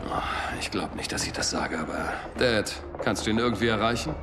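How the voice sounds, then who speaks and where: A man's voice: on the low side, brisk, very noisy, close to an everyday voice. A man playing a young, determined soldier who leads a squad in a video game, with an urgent, commanding manner. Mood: grave. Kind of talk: video game voice acting, German dub. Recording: loud game music behind.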